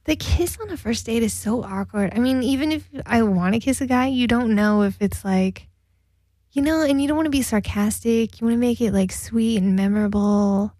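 A woman's voice: a little high, moderate pace, warm tone, no room sound. A woman co-hosting a casual radio chat show, reading listener emails and laughing often.

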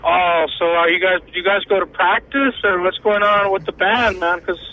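A man's voice: sits high, fairly even intonation, normal speed, lo-fi sound, a little hiss or hum. A man making a prank call to a radio show, stammering and acting like a nervous first-time caller.

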